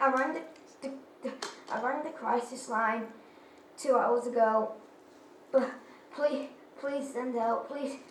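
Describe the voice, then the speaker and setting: A frightened little boy of eight, played by a woman, stammering and pleading slowly, his voice a touch high. It sounds like a stage performance caught from across an echoey room. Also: far from the mic, slight background noise. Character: frightened eight-year-old boy begging for help. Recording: echoey stage recorded from afar.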